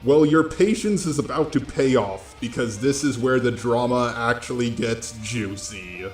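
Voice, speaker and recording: A man's voice: medium pitch, unhurried. A man delivering scripted online commentary in a blunt, informal way, speaking straight to his viewers. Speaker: host of online drama commentary videos. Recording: roomy echo with music underneath.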